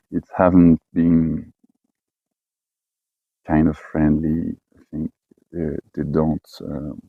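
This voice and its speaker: A man from French-speaking Switzerland with a Swiss French accent, talking casually in halting English with many fillers and restarts. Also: a bass voice, completely flat delivery, creaky, husky, no room sound, phone-quality sound. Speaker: expatriate herder. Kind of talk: casual personal talk.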